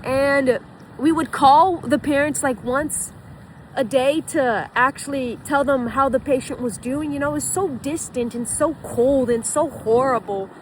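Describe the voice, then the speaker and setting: Rambling Brazilian woman, slightly high voice. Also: unhurried, a little hiss or hum, low-quality recording. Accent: Brazilian Portuguese. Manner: rambling, full of fillers and restarts. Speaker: former physician. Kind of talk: casual personal storytelling.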